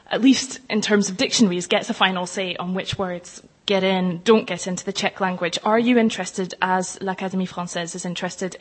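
A woman interviewing a linguist and then wrapping up the report in measured questions and narration. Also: fairly low pitch, breathy edge, poor audio.

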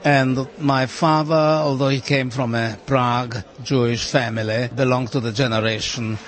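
A man in his eighties whose first language is German, answering an interview in a café with thoughtful, hesitant pauses. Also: medium pitch, fairly even intonation. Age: 80s. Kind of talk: recorded interview.

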